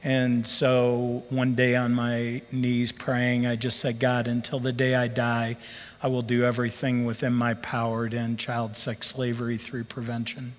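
A man's voice: mid-range voice, monotone, a little slow, a little hiss or hum. A man, the founder of a ministry, earnestly telling his calling story in an interview. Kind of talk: personal testimony. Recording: long reverb of a hall.

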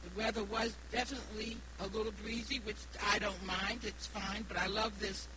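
A woman in her sixties with a New England accent preaches haltingly, repeating phrases and stressing key words. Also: mid-range voice, steady tone. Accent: New England American. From Rhode Island, United States. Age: 60s. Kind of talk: evangelical sermon with personal testimony. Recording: noisy live recording with hum.

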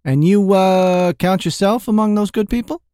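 A man's voice: sits high, normal speed, dark tone, dry recording, clean studio sound, pitched above the performer's own voice, close to an everyday voice. A man voicing a blunt, crude, skeptical middle-aged male shopkeeper who talks with incredulity in a storybook reading. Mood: gruff, incredulous.

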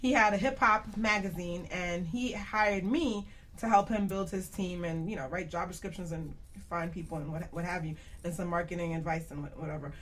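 A woman hosting a radio show, giving conversational business advice with expressive storytelling and acted-out quotes. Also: fairly low pitch, normal speed, a little hiss or hum. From New York, United States.

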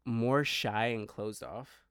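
A man's voice: medium pitch, fairly even intonation, takes it slowly, a bit bright, smooth, no room sound. A man in his twenties with an American accent talks casually in a mid-range voice. He rambles through slangy, self-interrupted sentences full of filler words, like a podcast co-host among friends. The sound is dry, with little room in it. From New York and New Jersey, United States. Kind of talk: casual chat.

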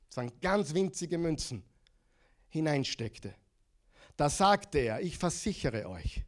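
A middle-aged preacher with an Austrian accent and a fairly high voice. He preaches with lively energy, mixes stories with questions, and has the congregation repeat key words back to him. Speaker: pastor. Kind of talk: sermon.

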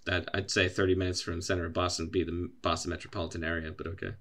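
A man's voice: low-pitched, little pitch movement, rapid-fire, fairly bright tone, a little hiss or hum. A young man co-hosting a podcast, telling rambling stories full of fillers, swearing and voiced quotes. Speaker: podcast co-host. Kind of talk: casual chat.

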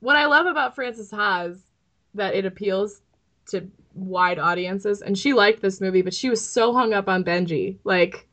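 A woman's voice: medium pitch, normal speed, a bit bright. A woman co-hosting a podcast in an informal, opinionated way, sometimes reading aloud and sometimes chatting. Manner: opinionated, informal, laughs easily. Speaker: podcast co-host and producer. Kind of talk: casual chat with read-out synopsis.

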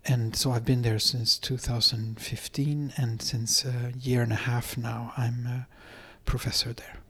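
A man's voice: medium pitch, flat delivery, unhurried, fairly bright tone, slightly rough. A middle-aged man from Sweden with a Swedish accent, a dance professor talking as a guest in an interview, hesitant and full of fillers as he gathers his thoughts. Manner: hesitant, many fillers and restarts. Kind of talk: conversational interview. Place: apartment.

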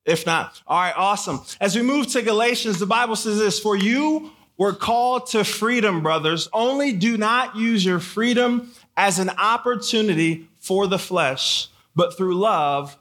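High-pitched, bright American male youth preacher. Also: unhurried. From born in Haiti, grew up in Michigan. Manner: casual, engaging the audience with questions and repeated phrases. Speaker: guest camp speaker, preacher. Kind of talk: conversational youth sermon to a live audience.

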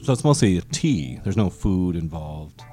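Man, comedy podcast host, very low voice, dry mock-polite delivery, music behind. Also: lively intonation, moderate pace, dark tone. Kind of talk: improvised comedy podcast banter.

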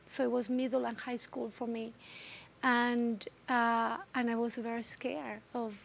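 A middle-aged woman from Argentina with an Argentine accent and a slightly raspy voice, speaking earnestly as an interview guest. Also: on the high side, level tone, slight background noise. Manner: earnest, unhurried, restarts phrases. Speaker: guest, teacher of voice and body techniques. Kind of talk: conversational interview.

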